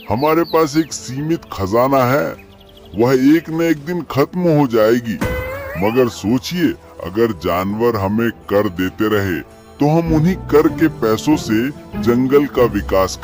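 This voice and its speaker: A man voicing a sly, greedy fox minister in an animal cartoon, speaking in a calm, persuasive, deferential way. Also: medium pitch. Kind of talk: animated animal fable dialogue.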